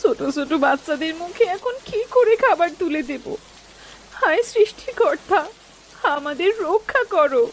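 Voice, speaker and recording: A woman's voice: extremely high pitch, moderate pace. A woman playing a worried, scolding village wife and mother in a cartoon, with rain heard behind her. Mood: despairing. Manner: nagging, exasperated. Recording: steady rain noise behind voice.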